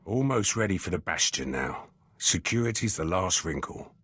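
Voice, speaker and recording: An actor playing a cynical, gruff military lieutenant in a video game, talking in terse, clipped phrases. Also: male voice, sits low, animated, moderate pace, a bit bright, full character voice. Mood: cynical, pressing. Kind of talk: video game character dialogue.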